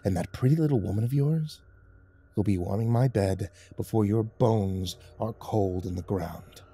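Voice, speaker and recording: A threatening male chief of a ragged settlement, acted by a male narrator in a grim storybook tale. He talks in a scornful growl with a mellow, dark tone. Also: lowish voice, moderate pace, husky. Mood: cold threat. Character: tall, menacing male leader of ragged settlers.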